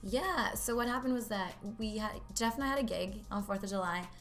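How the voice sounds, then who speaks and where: A woman's voice: mid-range voice, brisk, fairly bright tone, soft background music. A woman in her twenties from Norway, chatting casually as an interview guest, with lots of fillers. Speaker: guest, local singer.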